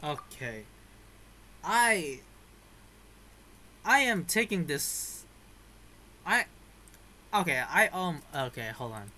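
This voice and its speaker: A man's voice: a little high, big pitch swings, takes it slowly, pauses often, bright, forceful tone. A man giving casual reaction commentary as he plays a video game.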